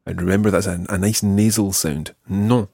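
A man hosting a podcast language lesson, explaining patiently and clearly. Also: low-pitched, steady tone, moderate pace, dark tone, no room sound, clean studio sound. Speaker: language teacher and podcast host.

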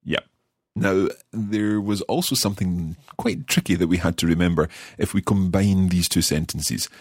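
A man hosting a language podcast lesson, speaking clearly and patiently and repeating phrases slowly. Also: deep voice, dry recording, clean studio sound. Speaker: language course host. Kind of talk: scripted podcast lesson chat.

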